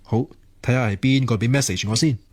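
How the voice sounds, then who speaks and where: A man's voice: mid-range voice, fairly quick, a little mellow, no echo, natural voice. A man acting a lonely, self-mocking young research student who mutters theatrically to himself in a radio drama. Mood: excited, pleading. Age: young adult. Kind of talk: Cantonese radio drama monologue.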